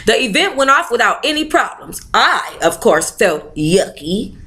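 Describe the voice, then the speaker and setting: A woman's voice: fairly high pitch, sing-song, a little slow, bright, forceful tone. A woman hosting a book club, reading aloud expressively with sassy, informal interjections of her own. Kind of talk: expressive read-aloud with playful ad-lib commentary.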